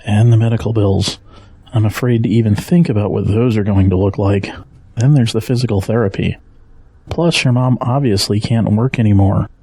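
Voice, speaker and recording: An actor playing a gentle, worried middle-aged father in an audio drama, speaking in a measured, earnest way with a husky voice. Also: on the low side, steady tone, dry recording. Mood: worried, weary.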